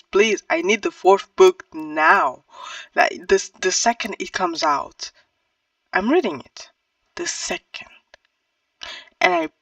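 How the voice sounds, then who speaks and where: A woman's voice: high voice, vocal fry, a bit bright, no echo, distant mic. A woman giving a casual, opinionated book review in an informal, emphatic way.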